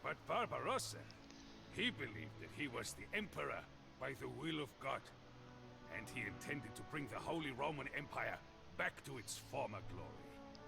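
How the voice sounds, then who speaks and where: An actor playing a theatrical storyteller of medieval history in a video game, savoring his phrases in a dramatic, deliberate delivery. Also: mid-range voice, gravelly, exaggerated voice. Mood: wry relish. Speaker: narrator.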